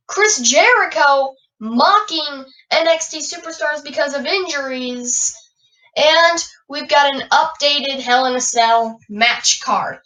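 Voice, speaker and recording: A woman hosting a wrestling podcast, raspy and stuffy from a cold, moving from an announcer-style welcome into loose rambling chat full of fillers. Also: high voice, pushed and bright, distant mic, rough recording. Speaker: podcast host. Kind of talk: wrestling news commentary.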